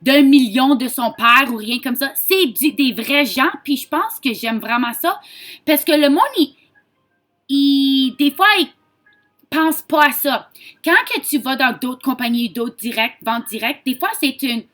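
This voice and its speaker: A woman from Ontario with a Franco-Ontarian French accent, giving a chatty, informal sales pitch and mixing in English words. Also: a little slow, fairly bright tone. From Ontario, Canada. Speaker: direct-sales consultant. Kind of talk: casual sales pitch to camera.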